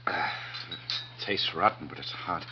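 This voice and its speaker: A man's voice: low-pitched, measured pace. An American actor playing a gloomy, bitter man on the run in a 1940s radio drama, curt and sardonic. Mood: weary, gloomy. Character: gloomy, bitter hunted man, lover suspected of murder. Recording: muffled, noisy vintage 1940s radio.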